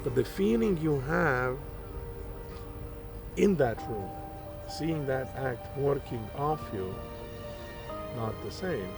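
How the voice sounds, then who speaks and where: A man, speaking English as an in a relaxed interview. His pitch sits mid-range, and he tells animated stories that bring quoted voices to life. Clear music plays behind him while he talks outdoors. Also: takes it slowly, long pauses. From Estonia. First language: Estonian. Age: early 40s. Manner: animated storyteller, acts out quoted dialogue. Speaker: stand-up comedian guest. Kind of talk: casual interview. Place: park outdoors.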